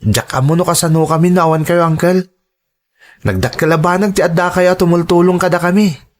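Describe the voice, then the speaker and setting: A man plays a dutiful young male student in an audio drama. His voice sits fairly high for a man, and he speaks with earnest respect, like a son who puts his family first. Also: brisk, lightly acted. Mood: earnest, respectful.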